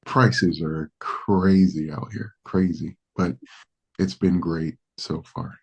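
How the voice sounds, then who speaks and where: A man's voice: deep voice, fairly even intonation, slow pace, gravelly, slightly rough, low-quality recording. A man from the Dominican Republic whose first language is Spanish, a pastor speaking as a guest in a podcast interview, earnest and reflective with some hesitations.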